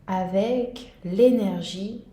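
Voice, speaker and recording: A woman guiding a yoga nidra meditation in a calm, gentle, soothing manner. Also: mid-range voice, extremely slow, reverberant. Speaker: meditation guide.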